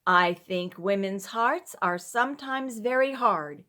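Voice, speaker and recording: A kind-hearted village wheelwright from a classic storybook, voiced by a woman reader. He is earnest and resolute, gentle in feeling but firm when he makes his case. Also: medium pitch, takes it slowly. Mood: earnest, indignant. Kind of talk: storybook character voice.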